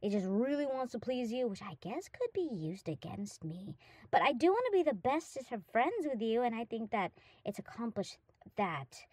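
A woman voices a young dog-girl full of eager affection. Her voice is high and swings up and down with excitement as she gushes and rambles. It is an audio roleplay, recorded very dry and close. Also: normal speed, no room sound. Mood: playful, confessing. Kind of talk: roleplay audio drama, acted monologue to the listener.

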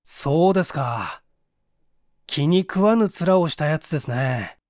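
A man's voice: a little high, animated, measured pace, darkish tone, dry recording, studio quality. A man voicing a blunt, serious, unsociable male engineer in a story reading, with a brusque manner.

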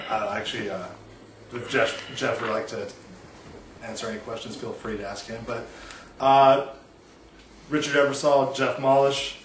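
A man playing a coach at a press conference, speaking haltingly with many pauses and ums. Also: on the low side, recorded from a distance, slight background noise. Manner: halting, hesitant, full of ums. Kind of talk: comic press conference skit. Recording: echoey room, heard from afar.

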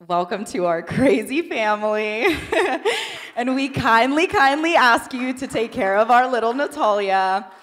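Young woman doing a sister toast, giggly, mid pitch, big echoey hall. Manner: giggly, affectionate, laughs between lines. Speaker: maid of honor giving a toast, younger sister of the bride. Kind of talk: wedding toast speech.

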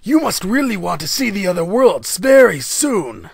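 A man voicing a menacing, hot-tempered young gang leader in an anime fan dub, taunting in a gravelly, husky voice. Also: high-pitched, very animated, no echo, studio quality, heavily acted. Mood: mocking menace. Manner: drawn-out, taunting.

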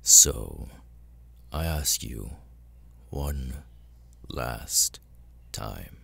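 A man playing a cold, menacing, seductive demon lord in an ASMR audio roleplay, breathy and gravelly, often near a whisper, with slow deliberate pauses. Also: extremely low pitch, animated, sharp tone, full character voice. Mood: menacing, coaxing.

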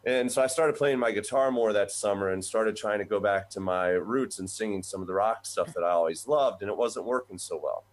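A man in his forties, a voice professor from the United States, telling his background in a relaxed, rambling way over a video call from a small office. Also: fairly low pitch, a little fast, few pauses. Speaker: guest, voice professor. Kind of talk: conversational storytelling. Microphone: webcam on video call.

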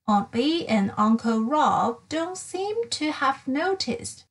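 A woman's voice: high voice, expressive, slow. A woman voicing a homesick young male meerkat writing home in a children's storybook, plaintive and earnest. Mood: uneasy. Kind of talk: children's storybook read-aloud.

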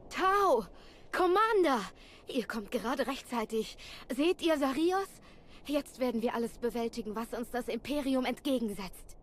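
A woman's voice: fairly high pitch, lively intonation, normal speed, a little hiss or hum, natural voice. A woman playing a confident, spirited young Jedi in a video game, with an eager, plucky manner. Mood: urgent, bold. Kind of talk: dubbed video game cutscene acting.

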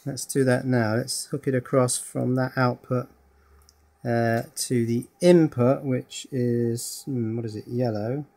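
A man's voice: medium pitch, steady tone, slow pace, vocal fry, background noise. Man thinking aloud through an electronics demo. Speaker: electronics hobbyist presenter. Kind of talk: casual hands-on demonstration commentary. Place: indoors at a workbench. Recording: music playing in the room.

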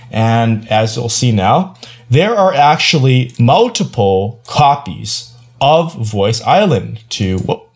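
Playful young man presenting a tutorial. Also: mid-range voice. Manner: playful, animated, slangy asides. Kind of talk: casual screencast tutorial narration. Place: small indoor room at home.

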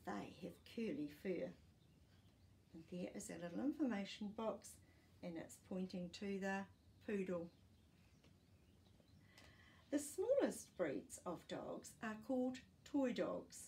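A woman in her sixties reading a children's picture book aloud in an expressive, teacherly way, as if to young listeners. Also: medium pitch, gravelly. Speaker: children's storytime reader. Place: small furnished living room, indoors.

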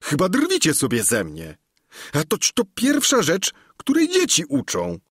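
A man's voice: mid-range voice, very animated, moderate pace, a bit bright, breathy edge, dry recording, studio quality, pitched above the performer's own voice. A man voicing a simple, earnest peasant squire who talks in a blunt, folksy, pleading way.

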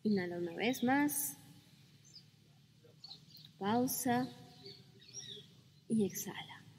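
A woman leading a guided meditation, speaking calmly and soothingly with gentle pauses between phrases. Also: medium pitch, slight background noise. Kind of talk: guided meditation, slow spoken instructions. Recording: long reverb with music behind.

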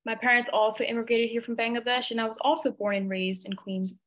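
A woman's voice: on the high side, little pitch movement, fast talker, fairly bright tone. A woman in her twenties giving a short, friendly introduction as a webinar panelist. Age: 20s. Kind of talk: brief introduction. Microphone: webcam mic on video call.

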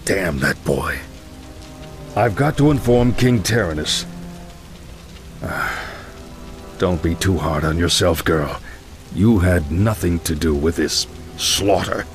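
Game veteran knight: weighty, paternal, low and unhurried. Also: male voice, lively intonation, faint music behind. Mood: weary, consoling. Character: stern, fatherly older paladin knight. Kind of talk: video game cutscene acting.

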